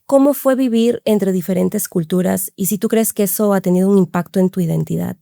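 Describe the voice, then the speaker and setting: A woman's voice: mid-range voice, brisk, gravelly, warm tone, dry recording, clean studio sound. A woman hosting a podcast, reading a prepared introduction and asking interview questions. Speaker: podcast host.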